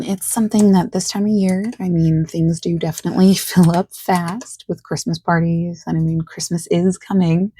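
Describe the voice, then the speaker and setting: A woman speaks softly and close in a very dry recording, her husky voice low and mellow. She plays a friendly salesperson in an ASMR roleplay, gently over-explaining in an unhurried, reassuring way. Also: dry recording. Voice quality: soft, husky, creaky. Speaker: ASMR creator playing a friendly phone salesperson. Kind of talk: soft-spoken ASMR roleplay, improvised one-sided phone sales call.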